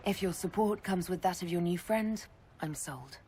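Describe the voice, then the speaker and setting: A woman's voice: fairly low pitch, normal speed, mellow, slight background noise, lightly acted. An actress playing a direct, dryly wry female fantasy hero and leader in a video game, plainspoken and brief. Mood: wry, firm.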